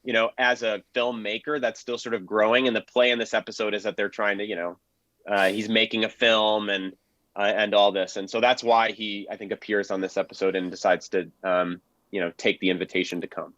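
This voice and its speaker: A man's voice: lowish voice, flat delivery, brisk, a bit bright, no echo. Man on a panel, dry lo-fi audio. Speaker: panelist, film and radio historian. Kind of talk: panel discussion commentary.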